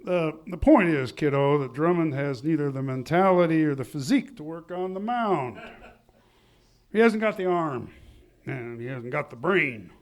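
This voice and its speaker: An actor playing a boastful, indignant husband who blusters pompously in an old-time radio comedy. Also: male voice, a little high, lively intonation, normal speed, natural voice. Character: boastful, indignant husband and would-be baseball pitcher.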